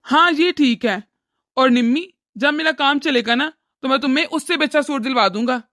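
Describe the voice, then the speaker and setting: A woman voicing an exasperated, cash-strapped adult son who protests and reasons with his mother in an animated family drama. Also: sits high, normal speed, dry recording, studio quality. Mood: supportive.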